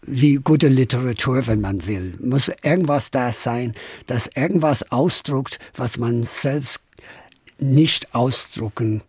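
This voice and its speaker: Slow, husky older Irish man. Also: mid-range voice, a little mellow, no echo. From Ireland, Dublin. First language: English. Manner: hesitant, many pauses and slips. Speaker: guest singer-songwriter. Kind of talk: radio interview answers.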